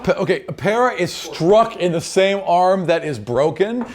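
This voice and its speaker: A man's voice: high voice, animated, unhurried. A man speaking as game master, casually explaining rules and narrating action in a tabletop game, with some swearing. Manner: casual, profane. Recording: faint background noise.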